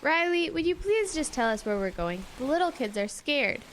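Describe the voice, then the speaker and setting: A woman's voice: sits high, very animated, moderate pace. A young female performer playing a sensible, questioning teenage girl who looks after her friends in a radio drama. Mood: reassuring, firm. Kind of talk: youth radio drama acting. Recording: noticeable background noise.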